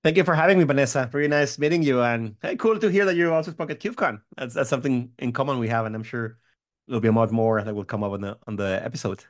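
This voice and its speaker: A man's voice: medium pitch, fast talker. A man, chatting as a guest, friendly and rambling. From Mexico. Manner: friendly, rambling, many fillers and restarts. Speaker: guest, tech company founder. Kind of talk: interview chat.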